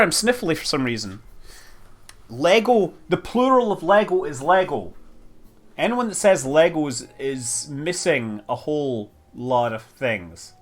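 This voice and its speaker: A man streams a building game with casual, rambling commentary. He speaks at an unhurried pace, half to himself, and his pitch moves in lively swings as he exclaims over what he finds. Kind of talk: casual live stream commentary, thinking aloud.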